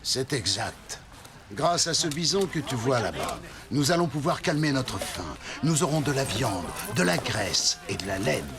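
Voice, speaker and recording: A man playing a calm, wise Sioux father who gives measured counsel in a French cartoon dub. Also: medium pitch, crowd noise. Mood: calm, reassuring. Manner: measured, sententious. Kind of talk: French animated series dubbing.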